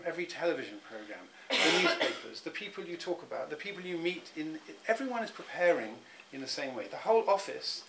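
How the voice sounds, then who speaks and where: Thoughtful middle-aged Englishman, halting restarts. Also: on the high side, fairly bright tone, slight background noise, rough recording. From England. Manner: thoughtful, many restarts and repeated words. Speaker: interviewee. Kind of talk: reflective personal interview.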